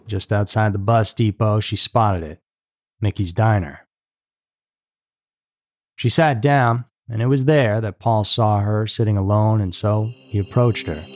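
A man's voice: fairly low pitch, unhurried. A man reading scripted true crime narration as a podcast narrator.

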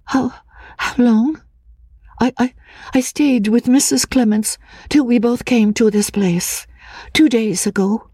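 A woman voicing a timid, childlike, troubled young woman in an audiobook of a classic novel, halting and repeating her words in a breathy voice. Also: fairly high pitch, mellow, no echo, clean studio sound, voice pushed up. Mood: nervous, earnest. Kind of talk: dramatic audiobook reading of classic literature.

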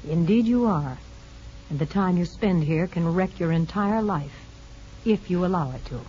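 Actress playing a firm prison official, muffled old radio. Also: female voice, on the low side, expressive, moderate pace, dark tone, a little hiss or hum, close to an everyday voice. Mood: stern, businesslike. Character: brisk, firm but kindly women's prison official narrating her memories. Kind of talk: 1950s radio drama acting.

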